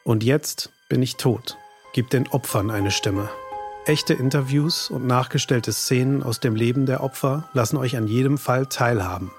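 A man's voice: medium pitch, moderate pace. A man presenting a true-crime podcast with scripted narration. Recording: faint music bed under narration.

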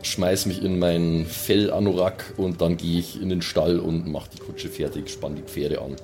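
Male player, deep voice, casual improvised game talk, faint music underneath. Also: level tone, normal speed, a little mellow.

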